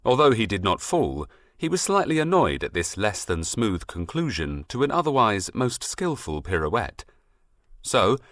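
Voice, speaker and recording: A man narrating a scripted storybook in an expressive, measured, literary storytelling manner. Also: low-pitched, no echo, clean studio sound. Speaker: audiobook narrator.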